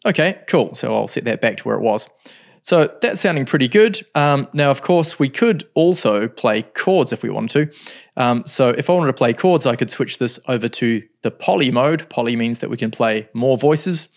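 Gravelly-voiced man explaining synth settings. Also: mid-range voice, normal speed. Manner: relaxed explaining with frequent ums. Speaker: music production tutor. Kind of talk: screen-recorded software tutorial.

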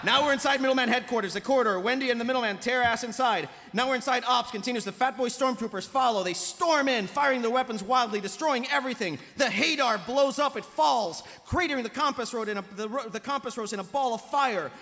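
A man's voice: extremely high pitch, fast talker, hardly any pauses, sharp tone. A man reading stage directions as narrator of a live script table read. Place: panel table in large convention hall. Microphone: table microphone. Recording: echoey convention hall.

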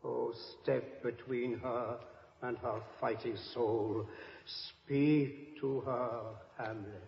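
Casting: dead king's ghost, solemn, very slow intoning, cavernous reverb on old radio drama. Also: medium pitch, steady tone, creaky. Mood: solemn, urging. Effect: long ghostly reverb. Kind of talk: classical Shakespearean 1950s radio acting. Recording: cavernous echo, muffled 1950s radio recording.

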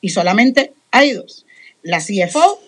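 A middle-aged woman with a Puerto Rican accent, a radio director moderating a panel on a video call, talkative and animated, joking at her own expense. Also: medium pitch, measured pace, breathy edge. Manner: talkative, animated, self-deprecating. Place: home room with headphones and studio mic. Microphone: studio microphone on a video call.

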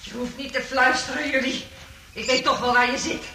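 A woman's voice: sits high, fairly even intonation, fairly quick, natural voice. An actress playing a deranged woman who believes she is goddess of the underworld, theatrical and menacing, in a Dutch radio drama. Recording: echoey cave sound, noisy old radio recording.

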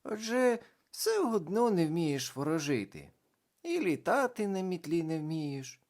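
The reader voicing a twelve-year-old girl dressed as a witch, loud and excitable. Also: mid-range voice, measured pace, pitched above the performer's own voice. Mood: teasing.

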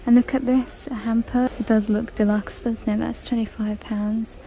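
Female vlogger, flat-ish delivery, reading prices aloud while filming in a store. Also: on the high side, normal speed, low-quality recording. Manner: chatty, reads out prices. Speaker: shopping vlogger. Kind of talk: casual shop-with-me narration. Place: large indoor discount store. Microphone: handheld phone camera.

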